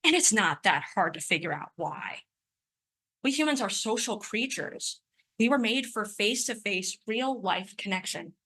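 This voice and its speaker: Bright-voiced young woman who stutters. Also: medium pitch, expressive, normal speed, breathy edge. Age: 20s. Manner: speaks with a stutter, occasional sound repetitions. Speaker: conference speaker. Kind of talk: prepared personal talk.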